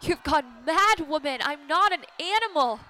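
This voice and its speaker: Woman acting indignant horrified marcher, radio drama, very high sharp voice, some noise behind. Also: expressive, moderate pace. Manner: indignant, forceful.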